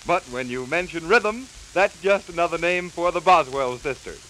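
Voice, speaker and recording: A man delivering scripted 1930s promotional announcements with brisk, theatrical showman's hype. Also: fairly high pitch, expressive, a bit bright. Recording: hissy, crackly 1930s 78 rpm record. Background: record hiss and crackle.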